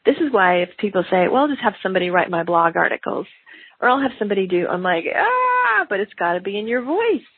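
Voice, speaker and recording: A middle-aged woman with a very husky, gravelly voice from a slight cold hosts a business podcast solo. She talks in an animated way, popping into lively voices when she quotes people. The recording is dry and narrow, like a phone line. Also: mid-range voice, brisk, no room sound. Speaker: podcast host.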